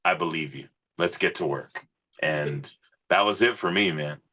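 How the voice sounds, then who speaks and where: A man with a low-pitched, forceful voice talks like a musician being interviewed. He is earnest and informal, telling his story in a loose, conversational way peppered with little fillers. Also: unhurried, slight background noise. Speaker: musician, singer, podcast guest.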